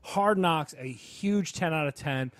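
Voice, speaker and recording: A man's voice: a little high, measured pace, fairly bright tone, no echo. A man co-hosting a casual sports and entertainment podcast, talking in an enthusiastic, emphatic, rambling way. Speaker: podcast co-host.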